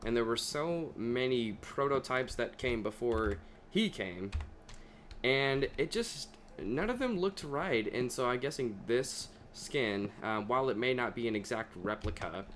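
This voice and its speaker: A young man chatting casually over his gameplay, his voice deeper than usual and talking to himself as he plays. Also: expressive, unhurried, fairly bright tone, slight background noise. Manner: chatty, talks to himself while playing. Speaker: gaming video creator.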